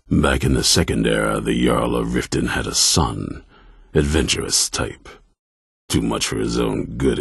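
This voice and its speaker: An actor playing a grim, distrustful, battle-hardened vampire hunter commander in a video game, with a gruff, blunt, stern delivery and a gravelly, husky voice. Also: male voice, very deep voice, no echo, clean studio sound, heavily acted. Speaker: leader of an order of vampire hunters. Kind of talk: video game character dialogue.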